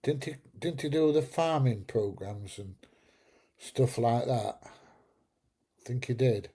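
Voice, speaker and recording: A middle-aged man chats away on a casual livestream, telling rambling, jokey stories. His voice is very dark and husky, with a gravelly edge. He speaks at an easy, slow pace. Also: mid-range voice, expressive. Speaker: livestream host. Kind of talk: casual live chat reading viewer comments.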